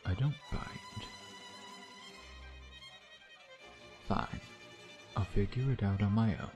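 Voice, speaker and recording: Man acting a deep-voiced, teasing vampire. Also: huge pitch swings, a little slow, music in the background. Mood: mocking, flirtatious. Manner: sardonic, flirtatious, drawling taunts with murmured asides. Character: suave, teasing, predatory male vampire, playfully menacing. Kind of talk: ASMR roleplay, intimate scripted acting.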